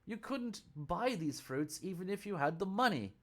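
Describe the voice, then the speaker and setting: A man's voice: high voice, big pitch swings, normal speed, fairly bright tone, pitched above the performer's own voice, heavily acted. A man voicing an anxious young male temple attendant who counts things carefully, speaking in an alarmed, exacting way. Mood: scornful.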